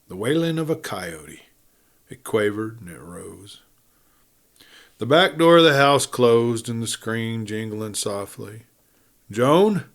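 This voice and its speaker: A man narrating a western novel as an audiobook, in a measured, deliberate storytelling style with dramatic pauses. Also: on the low side, animated.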